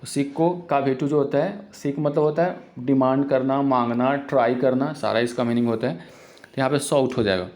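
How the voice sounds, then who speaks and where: A man teaching English grammar for exams, explaining in Hindi and reading English example sentences out slowly. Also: medium pitch, fairly even intonation, darkish tone. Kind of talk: exam-prep grammar lesson.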